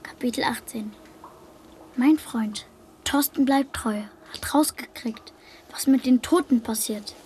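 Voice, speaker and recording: A woman playing a solemn young child narrator in a radio drama, hushed and matter-of-fact. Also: on the high side, slow pace, slight background noise. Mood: hushed, earnest.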